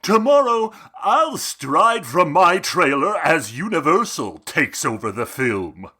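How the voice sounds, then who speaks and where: A pompous, theatrical older brother in a comedic audio drama. His voice is high and forceful, swooping grandly through slow, drawn-out phrases. He sounds vain and scheming. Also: big pitch swings, slightly rough, exaggerated voice. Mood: smug, grandiose.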